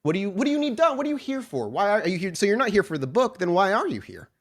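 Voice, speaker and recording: A man's voice: high voice, big pitch swings, racing, voice pushed up, heavily acted. A man voicing a nervous, wisecracking small-time vampire thief in a tabletop game, rambling and pleading in slang. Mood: anxious pleading.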